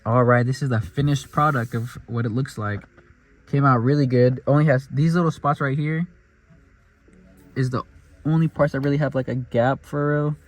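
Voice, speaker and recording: A man's voice: mid-range voice, steady tone, moderate pace. A man casually narrating a car vlog in a laid-back, rambling way with plenty of slang, recorded in and around his car at home. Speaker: car vlogger.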